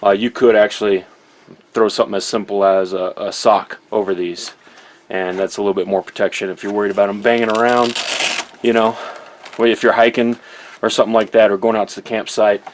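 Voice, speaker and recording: A man's voice: fairly low pitch, flat delivery, a little fast, a little hiss or hum. A man giving a casual, easygoing hands-on product review in an informal, conversational way.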